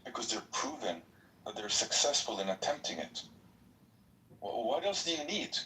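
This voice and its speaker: A man's voice: mid-range voice, very animated, normal speed, pushed and bright. A man debating politics as a podcast panelist, earnest and argumentative, often stumbling over fillers. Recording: distant mic, faint background noise.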